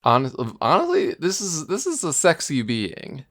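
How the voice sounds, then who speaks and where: A man's voice: on the high side, wildly swooping pitch, normal speed, a bit bright. A man co-hosting a podcast in casual chat, a little tipsy. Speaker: podcast co-host.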